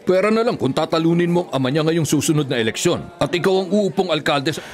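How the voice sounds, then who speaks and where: A man's voice: fairly high pitch, lively intonation, quick pace, crowd noise, close to an everyday voice. A man in a radio drama playing a bitter, domineering older father who was once mayor, speaking sternly and insistently. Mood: angry, commanding.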